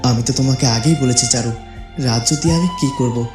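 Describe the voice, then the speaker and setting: A man's voice: mid-range voice, brisk, close to an everyday voice. A man voicing a devoted young cartoon prince in a tender, romantic, reassuring manner. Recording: roomy echo with music behind.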